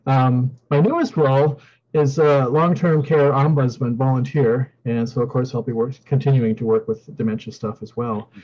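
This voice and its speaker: A man's voice: mid-range voice, steady tone, normal speed, a little mellow. Retired man, webinar host, hesitant with fillers, muffled video call audio. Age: older. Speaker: co-chair and meeting host. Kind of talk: casual webinar hosting.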